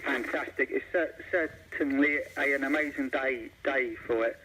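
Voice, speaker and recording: A man chatting casually over CB radio, halting and repeating his words. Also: medium pitch, steady tone. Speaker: CB radio operator. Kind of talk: casual radio chat with call signs. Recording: clearly noisy CB radio link.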